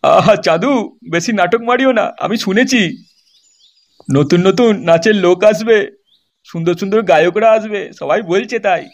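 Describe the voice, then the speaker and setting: A man's voice: high-pitched, moderate pace. A man voicing a nosy, grumbling, pushy old neighbourhood uncle in a comedy cartoon. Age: older.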